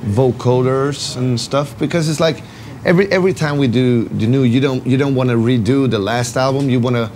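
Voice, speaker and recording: A man's voice: mid-range voice, brisk, a little mellow, slight background noise. A man with a Swedish accent, a band member giving casual interview answers with many fillers and restarts. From Sweden.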